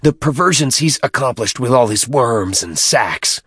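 A man's voice: mid-range voice, animated, a little slow, husky, no echo, clean studio sound. Man playing an irate, spitting alien minister. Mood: contemptuous anger. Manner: spitting, indignant, forceful. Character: irate, accusing male alien vice minister. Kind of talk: audiobook character voice.

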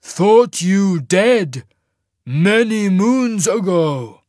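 A man voicing a massive, mournful giant who speaks in halting, broken phrases with a rumbling voice, in a fantasy audiobook. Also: animated, darkish tone, no echo, clean studio sound, full character voice. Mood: surprised. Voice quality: deep rumbling voice. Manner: slow, halting, clipped primitive phrases.

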